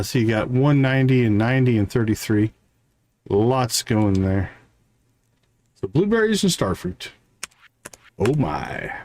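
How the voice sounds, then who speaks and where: A man's voice: medium pitch, darkish tone. A man livestreaming a video game, casually thinking aloud as he plays. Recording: clear background noise.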